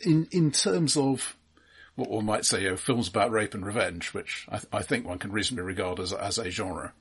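A man with a husky, slightly low voice talks casually as a film podcast co-host. He is measured and analytical, building his points in halting phrases.

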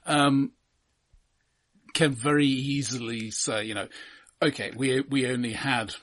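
Husky-voiced man, wry and analytical. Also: medium pitch, steady tone, fairly bright tone. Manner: measured, wry, hedging and self-correcting. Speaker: film podcast co-host. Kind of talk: casual analytical film discussion.

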